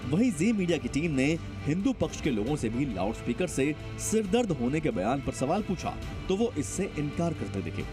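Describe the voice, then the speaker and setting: A male reporter voices a scripted news package with dramatic emphasis. His voice is fairly high and swings widely in pitch, over a clear music bed. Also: very animated, moderate pace, few pauses.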